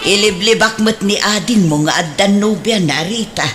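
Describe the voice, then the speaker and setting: Radio drama mother, played by a woman: middle-aged, fussy, questioning and motherly, medium pitch, conversational delivery. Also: moderate pace, over loud music, lightly acted. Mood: insistent. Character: inquisitive middle-aged Ilocano mother, fussing over her son. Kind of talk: radio drama comedy acting.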